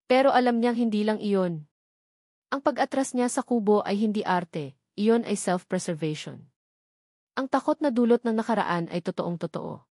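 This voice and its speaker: A woman's voice: medium pitch, a little mellow, no echo, studio quality. A woman with a Filipino accent reads a scripted romantic drama story as its narrator.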